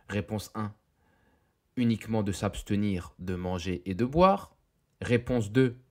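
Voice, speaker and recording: A man narrating a scripted educational quiz, reading questions and answers in a deliberate, didactic way. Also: fairly low pitch.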